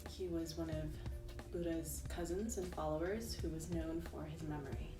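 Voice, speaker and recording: A young woman talks in a soft, reflective way, her voice sitting slightly low. She is set back from the mic in a roomy space. Clear music plays behind her. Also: fairly even intonation, normal speed, distant mic. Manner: soft-spoken, thoughtful, many ums and you-knows. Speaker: tarot reader and interviewee. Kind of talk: reflective personal talk.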